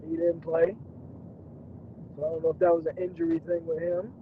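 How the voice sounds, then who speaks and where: A hoarse man whose voice is nearly gone, hosting a casual livestream reaction from a moving car and often clearing his throat and coughing. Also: a little high, level tone, brisk. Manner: keeps clearing throat and coughing, loose rambling talk. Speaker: channel host. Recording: tinny phone-line sound with faint car noise. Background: faint car and road noise.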